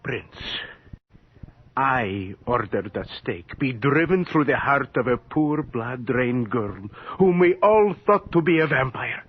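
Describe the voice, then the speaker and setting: Fearless pious priest, slow deliberate delivery, solemn, theatrical radio horror drama, dull noisy recording. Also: male voice, mid-range voice, animated, husky. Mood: grave, remorseful. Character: humble but defiant parish priest, pious, fearless.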